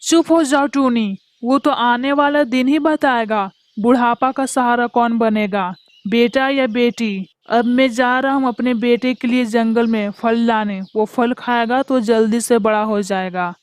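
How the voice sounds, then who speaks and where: A woman's voice: fairly high pitch, steady tone, a little slow, no echo, lightly acted. A woman voicing a stern father bird in a Hindi cartoon. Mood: scornful. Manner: stern, dismissive. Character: harsh, cold father bird who favours his son.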